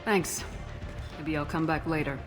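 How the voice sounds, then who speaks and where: An actor playing a terse, businesslike soldier hero in a video game, direct and matter-of-fact. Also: male voice, on the high side, steady tone, measured pace, natural voice. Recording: music behind.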